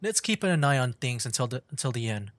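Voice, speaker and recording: A man doing casual livestream gameplay commentary, joking and thinking out loud. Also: mid-range voice, sing-song, fast talker, no room sound. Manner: joking, chatty, thinks aloud. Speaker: game streamer.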